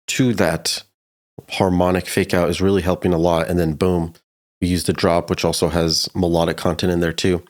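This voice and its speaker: A man giving a casual, slangy music production tutorial in a laid-back but enthusiastic way. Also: low voice.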